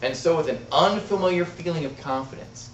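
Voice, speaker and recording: A man telling a tall tale to an audience as an amateur contest speaker, with dramatic, deliberate delivery and emphatic pauses. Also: on the high side, slight background noise. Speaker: amateur storyteller in a speech contest. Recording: roomy, distant, muffled club-room recording.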